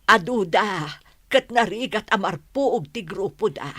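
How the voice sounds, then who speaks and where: A woman's voice: medium pitch, animated, unhurried, bright, forceful tone, dry recording. A woman playing an anxious, cautious villager in a radio drama, speaking in an earnest, lamenting manner. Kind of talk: Ilocano radio drama acting.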